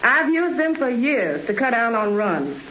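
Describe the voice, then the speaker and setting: A woman's voice: a little high, very animated, measured pace, a bit bright, a little hiss or hum. A woman speaking as a radio guest, giving a scripted 1930s testimonial. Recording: echoey muffled 1930s radio relay.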